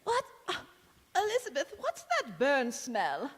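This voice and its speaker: A woman's voice: sits high, wildly swooping pitch, a little slow, heavily acted. A woman playing a meddling, haughty, smugly critical busybody in old-time radio sitcom style, affected and melodramatic. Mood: smug, nosy. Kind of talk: golden-age radio sitcom acting on stage. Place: large indoor hall, live stage performance. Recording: echoey hall, community theatre stage.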